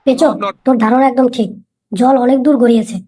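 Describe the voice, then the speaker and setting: Woman voicing a high-pitched brash cartoon son. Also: quick pace, warm tone, husky. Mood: indignant. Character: brash, foul-mouthed, nosy son, cheeky and indignant. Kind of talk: comic cartoon dialogue. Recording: music behind the voice.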